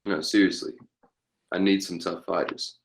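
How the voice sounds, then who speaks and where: A man's voice: low voice, steady tone, moderate pace, vocal fry, slight background noise. A man playing a tough Western outlaw captain with folksy cowboy talk in a table read. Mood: persuasive.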